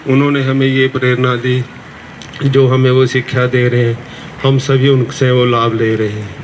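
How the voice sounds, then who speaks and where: A man giving a plain, steady and grateful testimonial about joining a yoga class. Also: mid-range voice, moderate pace, slight background noise, poor audio. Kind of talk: casual spoken testimonial. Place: outdoor park.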